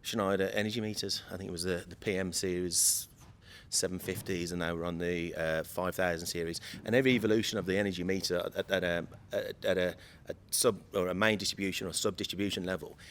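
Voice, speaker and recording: A man in his late forties with a slightly croaky voice, chatting as a guest on a podcast and often repeating words while he searches for them. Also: low voice, steady tone, fast talker, fairly bright tone. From United Kingdom. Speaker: guest from an electrical equipment maker. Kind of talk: casual podcast chat.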